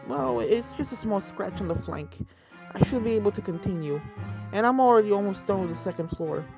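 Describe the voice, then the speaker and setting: A man's voice: high voice, lively intonation, fairly quick, creaky, music in the background, voice pushed up. A man voicing a brave but shaken young girl pony in a fan fiction reading, polite and a little hesitant. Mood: worried.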